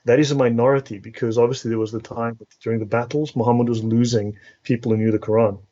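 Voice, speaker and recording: A man with a South African accent talks in an academic, teacherly way as an interview guest. His voice is mellow and mid-pitched. The audio is a little dull and lo-fi. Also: level tone, quick pace. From South Africa, born and raised in Cape Town. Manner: academic, didactic, checks understanding with "right?". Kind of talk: explanatory live interview talk.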